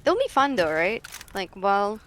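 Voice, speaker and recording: A woman with a calming voice, chatting casually and softly as a co-streamer on a game stream. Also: medium pitch, a little slow, a little hiss or hum.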